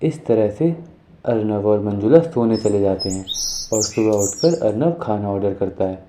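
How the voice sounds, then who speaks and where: A man narrating an animated moral story in a scripted storytelling style. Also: on the low side, normal speed, a little hiss or hum. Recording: music under the voice.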